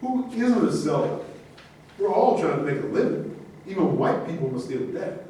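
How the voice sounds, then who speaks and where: A sceptical, admonishing peacemaker played by a man in a staged reading. His voice is fairly high, questioning, and comes from far off in a very echoey room. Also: sing-song, normal speed, warm tone. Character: sceptical male peacemaker urging restraint. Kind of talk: live staged reading of a play. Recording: very echoey distant room recording, faint noise.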